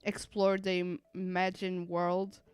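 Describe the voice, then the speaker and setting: Woman streamer chatting casually, super clean smooth voice, dry close sound. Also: on the low side, completely flat delivery, a little slow, darkish tone, no echo. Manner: reflective, repeats and rephrases points. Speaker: streamer reacting to a game. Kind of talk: casual live commentary.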